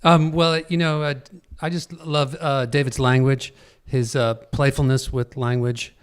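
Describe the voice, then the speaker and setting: A man with a medium-pitched voice talks on a live panel as a theater director. He moves haltingly, pausing and searching for the next word. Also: flat delivery, smooth.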